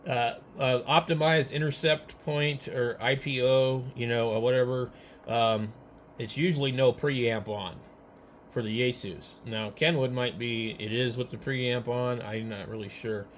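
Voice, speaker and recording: Guy doing casual ham radio tech talk, kinda halting, thinking out loud, noisy background. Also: mid-range voice, fairly even intonation. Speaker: amateur radio operator. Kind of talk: casual technical chat.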